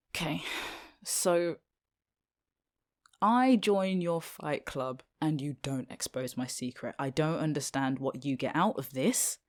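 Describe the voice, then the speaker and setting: A low-pitched British teenage schoolgirl, played by a woman, secretly a magical girl and thoroughly reluctant about it. She talks in a casual, halting way with slangy, sardonic exasperation. It has the loose feel of an ad-libbed audio roleplay.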